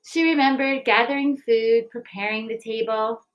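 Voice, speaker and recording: A woman in an expressive, deliberate read-aloud style, like school staff sharing a picture book and news with families. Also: fairly high pitch, dull audio. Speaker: school staff member reading aloud and presenting a recipe book project. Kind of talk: children's storybook read-aloud and school announcement.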